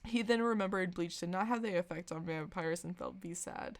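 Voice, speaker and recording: A woman with a slightly low voice hosts a comedy podcast. She reads fan fiction aloud as mock-serious drama. Amusement often colours the narration. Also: moderate pace. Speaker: podcast host.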